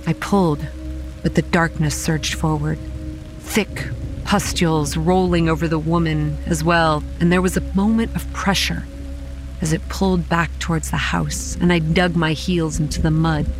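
A woman's voice: low voice, fairly even intonation, rough recording. Haunted, wry young woman narrating horror. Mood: grave, haunted. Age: young adult. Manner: grave, measured storytelling with dry sarcastic asides. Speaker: narrator and lead actor. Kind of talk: dramatic first-person horror audio drama narration. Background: quiet music and rain.

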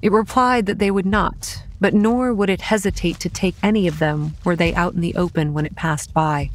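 A young woman playing a wry campground manager, telling a horror story in a measured, scripted first-person style. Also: on the low side, fairly even intonation, a little hiss or hum, natural voice, rough recording. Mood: grave. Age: young adult. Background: music.

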